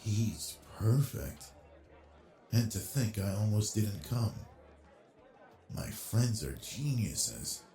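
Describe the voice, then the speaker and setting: A man voicing an obsessive yandere man on a blind date, talking with quiet, smooth charm in a scripted audio roleplay, close on the mic. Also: on the low side, very animated, takes it slowly, long pauses. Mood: flirty. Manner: quiet brooding inner monologue, then smooth flirty charm.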